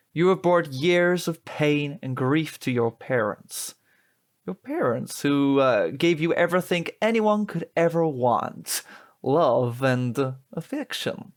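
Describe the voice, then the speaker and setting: A man playing a prim, smugly polite young replacement son in a comic audio drama, formal, measured and reproachful. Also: on the high side, animated.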